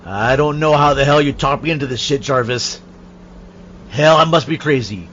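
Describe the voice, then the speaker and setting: A man's voice: medium pitch, normal speed, noisy background, lightly acted. A man playing an exasperated, reluctant young sidekick in an amateur audio drama, emphatic and griping. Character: nervy, exasperated young male pickup passenger, reluctant sidekick. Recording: dull, band-limited podcast audio.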